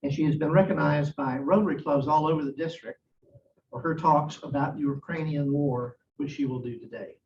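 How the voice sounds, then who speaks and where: A man's voice: a little high, steady tone, fairly quick. Distant man introducing a speaker. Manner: warm, welcoming. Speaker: club host introducing a speaker. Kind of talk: formal introduction. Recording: distant echoey room, dull and noisy.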